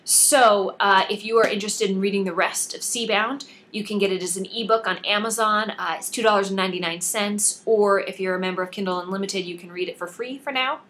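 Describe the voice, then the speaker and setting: A woman's voice: mid-range voice, fairly even intonation, brisk, few pauses, a bit bright, a little hiss or hum. A woman author reading scripted narration from her own novel, audiobook style.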